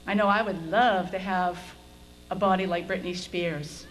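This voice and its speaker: A woman's voice: on the low side, steady tone, measured pace. A middle-aged American woman, a school administrator, giving an informal, conversational commencement speech with a self-deprecating streak. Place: on stage at high school graduation. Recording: noisy event video with room ambience.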